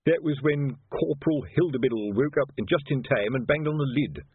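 A man voicing a weary, gruff middle-aged male watch sergeant. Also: medium pitch, brisk, phone-quality sound, pitched above the performer's own voice. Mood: reminiscing.

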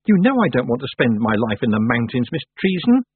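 A man's voice: medium pitch, very animated, fairly quick, slightly rough, no room sound, narrow, tinny audio. A man voicing a young witch girl, earnest, stubborn and a little anxious, in a storybook reading. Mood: anxious, protesting. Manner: hesitant, protesting.

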